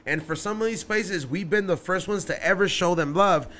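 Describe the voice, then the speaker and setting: A man, hosting a podcast in casual chat, loud and swearing, telling stories on a studio mic. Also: sits high, normal speed. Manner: loud, swearing storyteller who raises his voice for punchlines. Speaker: podcast host. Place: small studio room decorated with neon signs. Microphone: studio mic on boom arm, wearing headphones.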